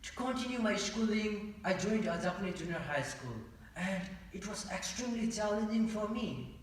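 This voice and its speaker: A young man from Nepal with a Nepali accent gives a rehearsed contest speech in a deliberate, formal manner. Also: sits high, expressive, slight background noise. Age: young adult. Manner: deliberate, formal, rhetorical pauses and questions. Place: large indoor auditorium. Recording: echoey auditorium, distant from mic.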